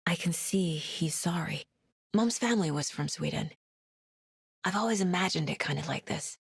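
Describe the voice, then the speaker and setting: A determined female investigator in a video game. Her voice is lowish and clearly husky, recorded very dry and close. She sounds introspective and focused, keeping things understated and natural. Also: animated, normal speed, dry recording, exaggerated voice. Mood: thoughtful, focused. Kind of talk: video game character voice lines.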